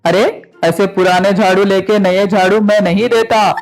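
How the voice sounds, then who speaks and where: A man voicing a crafty, grumbling street broom seller in an animated story, exclaiming and calling out. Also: high-pitched, a little slow, creaky, soft background music. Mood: exasperated.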